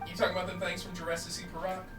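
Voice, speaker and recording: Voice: mid-range voice, monotone, rapid-fire. A streamer's partner chatting casually from the side, joking and laid-back. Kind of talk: casual chat. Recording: distant from mic, loud game music.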